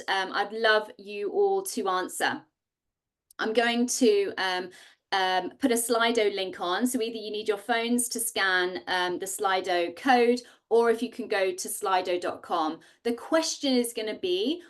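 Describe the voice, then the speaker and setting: Woman, 40s, presenting a webinar on a video call, mid pitch. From Singapore. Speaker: host and co-founder presenting a masterclass. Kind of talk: presenting a live online masterclass.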